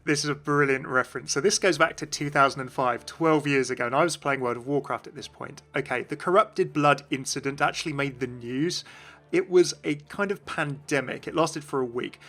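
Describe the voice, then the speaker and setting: A man's voice: on the high side, a bit bright. A man narrating a video as a game commentator, explaining things in an informal way.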